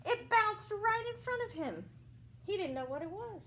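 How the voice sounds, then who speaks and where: A woman reading a picture book aloud to young children as a teacher, explaining things simply and pausing for questions and asides. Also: very high-pitched, animated, a bit bright, a little hiss or hum.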